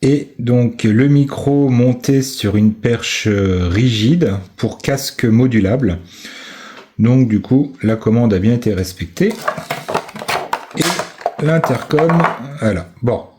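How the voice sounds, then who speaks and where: A man giving casual product commentary, thinking aloud with hesitant pauses. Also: mid-range voice, background noise. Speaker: amateur video maker reviewing a purchase.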